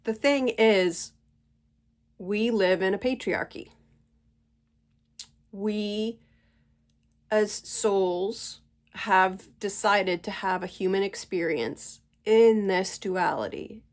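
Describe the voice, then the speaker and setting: A woman in her forties or fifties hosting her own channel, talking slowly and thoughtfully at home while stuffy from a cold. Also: medium pitch. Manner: gentle, unhurried, thoughtful pauses. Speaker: channel host. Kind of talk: slow reflective spiritual monologue.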